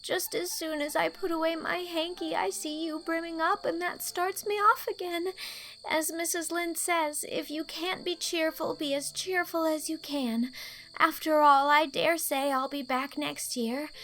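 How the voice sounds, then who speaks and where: A woman's voice: very high voice, steady tone, measured pace, a bit bright, a little hiss or hum, voice pushed up, heavily acted, poor audio. A woman playing a dramatic, anxious, chatty teenage schoolgirl in a storybook reading, speaking earnestly and wordily. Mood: tearful, imploring.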